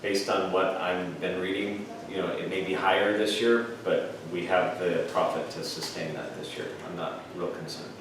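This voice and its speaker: Echoey, low-voiced man presenting financials. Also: fairly even intonation, normal speed, few pauses. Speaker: finance officer presenting a report. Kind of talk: explanatory financial report at a board meeting. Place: indoor public board meeting room. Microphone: distant room microphone. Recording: echoey meeting room, distant mic, faint noise.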